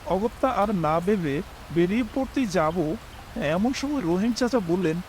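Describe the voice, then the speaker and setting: A man's voice: high voice, expressive, a little slow, a little mellow, a little hiss or hum. A young man narrating a scripted horror story in the first person, acting out his polite dialogue expressively.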